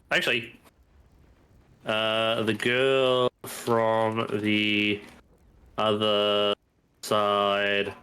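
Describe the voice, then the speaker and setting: A man's voice: fairly low pitch, sharp tone, clean tone. A man with a stuffy nose from hay fever, chatting casually on a live stream and thinking aloud as he browses. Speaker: stream co-host.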